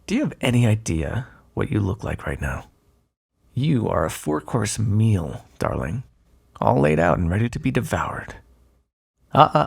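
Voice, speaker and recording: A man playing a smug, predatory vampire in an ASMR roleplay, taunting and flirtatious, with a gravelly, breathy voice. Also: on the low side, full character voice. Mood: predatory, commanding. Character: predatory, teasing male vampire, smug and seductive.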